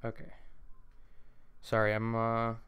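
A man's voice: on the low side, completely flat delivery, slow pace, a bit bright, clean tone. A man who acts as an amateur, talking casually and self-consciously between takes, often apologizing and rambling. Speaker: amateur voice actor.

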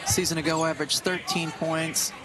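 A man's voice: on the high side, fairly even intonation, moderate pace, low-quality recording. A man from Connecticut with a Connecticut accent giving chatty, joking color commentary on a basketball game. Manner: chatty, joking, laughs easily. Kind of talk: live sports analysis. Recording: broadcast booth with loud music behind. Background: arena music and bouncing ball.

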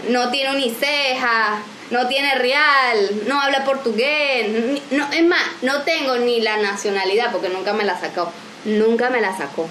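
Lively Venezuelan woman in her twenties. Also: a little high, unhurried, reverberant, a little hiss or hum, low-quality recording. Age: 20s. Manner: animated, joking, gestures a lot. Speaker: vlogger. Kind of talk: casual vlog chat. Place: small room at home, indoors.

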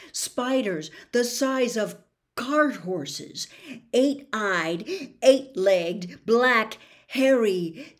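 Slow, theatrical older woman narrator. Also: medium pitch. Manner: theatrical, deliberate, suspenseful. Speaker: storybook reader. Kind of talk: dramatic audiobook-style narration. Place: small bedroom, indoors.

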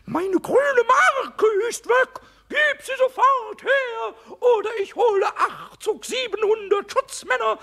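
A man voicing a mischievous, boastful little kobold in a children's radio play, squeaky and excitable, stammering with eagerness. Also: slow, gravelly, hardly any pauses, heavily acted. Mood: mock menacing.

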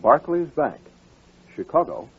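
A man's voice: a little high, very animated, a little slow, breathy edge. A man reading a scripted radio advertisement as a commercial announcer. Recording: tinny, narrow old radio recording.

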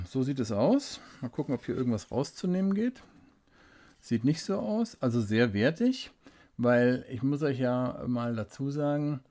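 Man, casual desk unboxing reviewer, mellow low-key voice, relaxed and unhurried, thinks aloud. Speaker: fountain pen reviewer. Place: indoors at a desk, hands-only camera. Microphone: studio desk microphone.

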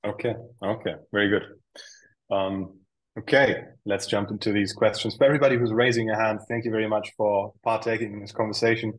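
A man with a somewhat low voice hosts a live webinar. He keeps things moving steadily and reads out questions from the audience. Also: fairly even intonation, brisk, low-quality recording. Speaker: webinar host and moderator.

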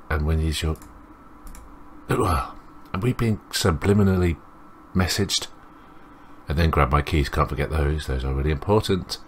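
A man's voice: extremely low pitch, a little hiss or hum. A man reading a visual novel aloud as a let's play host, rambling and interrupting himself with commentary.

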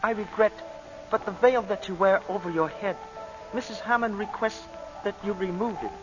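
A man's voice: high-pitched, lightly acted. An actor playing a polite, deferential male servant who guides visitors, in 1940s radio acting style. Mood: formal. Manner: formal, courteous, halting phrasing. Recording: dull old radio broadcast with music.

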